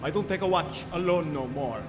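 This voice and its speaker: Casting: male actor as scared rough sailor, high voice, rustic talk, radio play, long reverb. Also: moderate pace, natural voice. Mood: fearful. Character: rough, frightened male deckhand on a sailing ship. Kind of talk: live gothic horror radio drama acting. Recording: reverberant stage, music behind.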